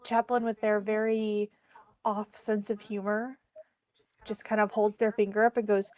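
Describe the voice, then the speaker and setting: A woman's voice: medium pitch, flat delivery, measured pace, darkish tone. A woman chatting casually as a player in a tabletop roleplaying game.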